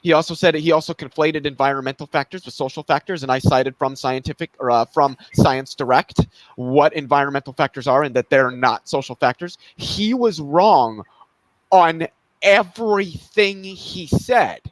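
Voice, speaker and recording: A man with a somewhat high voice talks bluntly and indignantly, swearing freely in a casual chat. The sound is dry and close to the mic. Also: moderate pace, no echo, poor audio. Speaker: co-host of a debate review stream.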